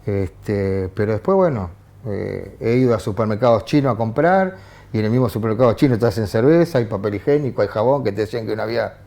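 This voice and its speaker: A man with an Argentine accent, a journalist guest on a TV political panel who talks in halting phrases with frequent restarts and fillers. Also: fairly low pitch, expressive, gravelly, dark tone. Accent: Argentine Spanish. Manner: hesitant, many restarts and fillers. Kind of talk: TV studio political discussion. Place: TV studio.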